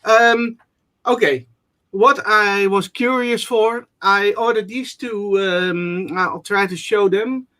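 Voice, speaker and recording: A man from the Netherlands with a Dutch accent, talking loudly and haltingly with many fillers while he shows an electronics project on a live stream. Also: high voice, steady tone. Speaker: guest showing an electronics project. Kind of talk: casual live-stream show-and-tell.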